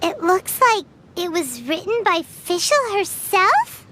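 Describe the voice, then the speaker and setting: A woman voicing a tiny, excitable floating female companion who chatters eagerly in a game. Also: very high voice, takes it slowly, slight background noise, full character voice. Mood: curious. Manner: refers to herself in third person, eager. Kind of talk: game voice acting. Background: music.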